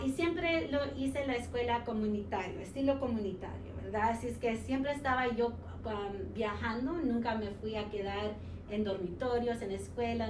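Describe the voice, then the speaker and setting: A woman in her forties from New York, a teacher telling her life story in a casual interview, pausing often with fillers and mixing in English words. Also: on the high side. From United States, New York. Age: 40s. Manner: halting, many fillers, slips English words into Spanish. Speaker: social studies teacher, interview guest. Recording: roomy sound from a distant mic with noise.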